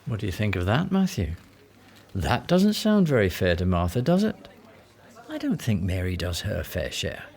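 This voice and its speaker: A man's voice: fairly low pitch, huge pitch swings, moderate pace, creaky, a little mellow, exaggerated voice. A man playing a sceptical, needling disciple in a radio play, with a questioning, teasing manner. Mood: challenging. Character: sceptical, needling male disciple with smooth sympathy.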